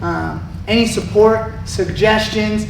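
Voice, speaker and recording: A man coaching Muay Thai, explaining sparring in a relaxed way during a live Q&A. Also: high voice, slow, gravelly. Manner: relaxed, explanatory, shouts cues while sparring. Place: martial arts gym. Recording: echoey gym heard from far off with noise.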